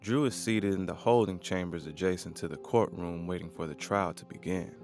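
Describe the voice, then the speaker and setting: A man narrates a scripted audio drama. His voice is low-pitched, and faint music plays behind the narration. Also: a little fast, a little mellow.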